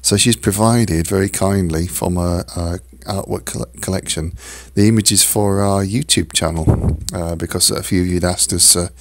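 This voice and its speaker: A man with a hoarse, croaky voice hosting a solo podcast, talking haltingly with many fillers and restarts. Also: sits low, fairly even intonation. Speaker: podcast host. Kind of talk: casual solo podcast talk.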